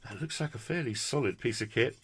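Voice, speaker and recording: A man's voice: mid-range voice, brisk, fairly bright tone, a little hiss or hum. A British man presenting a casual hands-on product review in a chatty, jokey, effusive way with quaint turns of phrase. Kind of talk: casual hands-on unboxing commentary.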